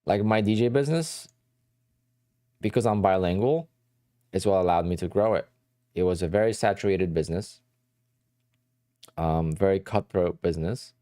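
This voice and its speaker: Deep, dark-voiced man chatting casually. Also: normal speed, pauses often, no room sound, clean studio sound. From New Jersey. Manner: rambling, conversational, answers chat questions. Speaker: day trading livestream host. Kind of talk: casual livestream chat.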